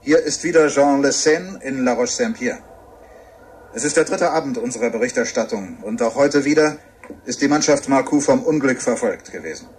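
An actor playing an earnest radio reporter giving a measured live report in a radio drama. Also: male voice, medium pitch, lively intonation, a little mellow, slight background noise, natural voice. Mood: grave reporting. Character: earnest male radio reporter at an accident scene.

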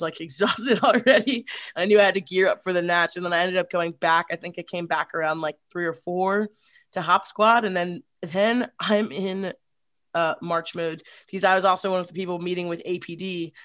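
A young woman chatting on a video call with a headset, telling her story in an energetic, rambling way, full of "like". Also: fairly low pitch, no echo, clean studio sound. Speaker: supporters group organizer. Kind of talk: casual video call chat.

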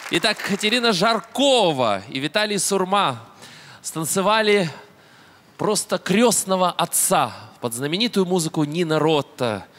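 Оживлённый мужчина-ведущий, шутливый тон. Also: male voice, sits high, expressive, unhurried, a bit bright, a faint crowd. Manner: playful, ironic. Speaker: TV show host. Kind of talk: joking show hosting. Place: TV studio set. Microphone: handheld.

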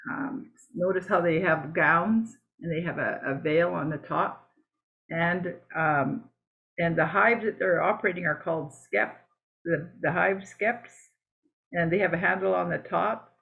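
Canadian lady presenting slides on a video call, kinda creaky voice, lots of ums and restarts, tinny audio. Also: fairly low pitch. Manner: halting and conversational, with many fillers and restarts. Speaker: beekeeping presenter.

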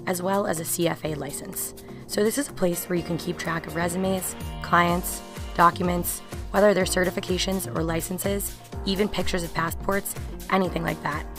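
A young woman presents a software walkthrough. Her voice sits a little low and she explains things conversationally. Music plays clearly behind her. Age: young adult. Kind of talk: software demo narration.

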